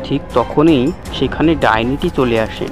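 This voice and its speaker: Narrator brief: male storyteller for a cartoon tale, medium-pitched, reading over background music. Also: moderate pace.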